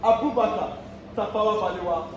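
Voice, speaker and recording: A man's voice: high voice, a little fast, far from the mic, slight background noise, close to an everyday voice. A man playing a formal official proclaiming in a stage play, recorded from afar in an echoey theatre. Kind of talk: declamatory stage acting.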